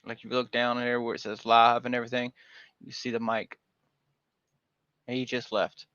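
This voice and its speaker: A man's voice: medium pitch, level tone, normal speed, a bit bright, clear, resonant tone, no room sound. Folksy man hosting a lo-fi livestream panel. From Georgia, US. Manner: rambling, folksy, with frequent fillers. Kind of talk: casual livestream chat. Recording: dull lo-fi dry stream audio.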